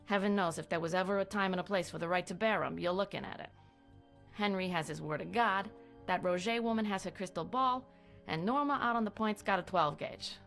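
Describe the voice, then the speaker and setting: A woman's voice: mid-range voice, brisk, vocal fry, fairly bright tone, soft background music. A woman voicing a world-weary small-town American sheriff in a video game, with a folksy, dry, matter-of-fact delivery. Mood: dry, firm. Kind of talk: dramatic cutscene monologue.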